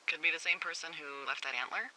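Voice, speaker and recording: An actress voicing a wry, teasing American fire lookout supervisor in a video game, with a dry, playful and sarcastic manner. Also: female voice, low-pitched, quick pace, sharp tone, exaggerated voice, poor audio. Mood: casual, playful. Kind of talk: naturalistic video game dialogue. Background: background music.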